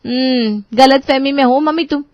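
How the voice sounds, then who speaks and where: Brief: woman voicing an exasperated young bride, high voice, dull mic. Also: normal speed, dry recording, lightly acted. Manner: complaining, frantic. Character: young daughter-in-law, exasperated and whiny. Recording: dry, slightly muffled studio voice.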